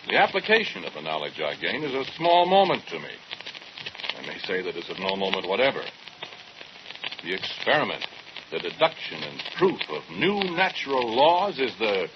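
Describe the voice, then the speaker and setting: An actor playing a cold, single-minded scientist in his forties, speaking precisely and with detachment in an old-time radio drama. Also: on the low side. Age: 40s. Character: cold, single-minded male research scientist, aged forty-seven. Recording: dull, noisy vintage radio recording.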